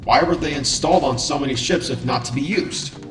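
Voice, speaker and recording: A man's voice: medium pitch, a little fast, natural voice. A man voicing a restless, adventurous robot captain, earnest and casual, in an amateur comic dub. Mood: determined. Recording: roomy, with music bed.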